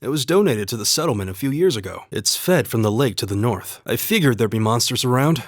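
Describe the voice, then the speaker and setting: A man voicing a calm, courteous young spear fighter from the plains in a video game, speaking in an earnest, measured, polite way. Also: mid-range voice, no echo, studio quality. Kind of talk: scripted game dialogue and battle barks.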